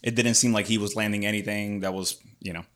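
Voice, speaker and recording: A man's voice: fairly low pitch, fairly even intonation, fast talker, fairly bright tone. A man hosting a casual interview, laid-back and friendly, swearing freely as he banters with his guest. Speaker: podcast host.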